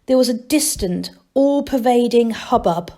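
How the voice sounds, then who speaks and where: A woman reading a children's novel aloud as a storyteller, in expressive scripted narration. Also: fairly high pitch, a little slow.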